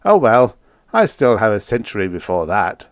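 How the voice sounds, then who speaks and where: A man's voice: fairly low pitch, sing-song, moderate pace, no echo, studio quality. A man voicing a genial, avuncular elder president in an audiobook, with an emphatic, hearty manner. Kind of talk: audiobook character acting.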